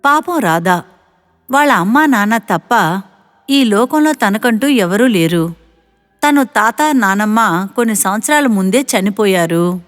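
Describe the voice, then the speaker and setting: A woman's voice: medium pitch, lively intonation, measured pace. A woman narrating a scripted moral story.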